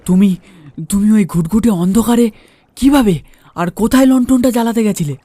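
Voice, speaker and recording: A man acts out a frightened gentleman passenger in a cartoon horror tale. His voice is raised and high, mellow in tone, stumbling over indignant, demanding questions. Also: normal speed, no echo. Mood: alarmed, questioning. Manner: agitated, stammering repeated questions. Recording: music under the voice.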